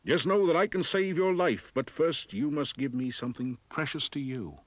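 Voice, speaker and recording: A man's voice: fairly high pitch, moderate pace, no room sound, voice pushed up, natural voice. A man voicing a sly, ugly fairy-tale dwarf who wheedles and bargains. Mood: sly, wheedling. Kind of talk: storybook character voice.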